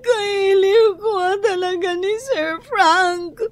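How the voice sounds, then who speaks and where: Very high tearful voice, lovelorn young woman, slow, insistent, Ilocano accent, radio drama. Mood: tearful longing.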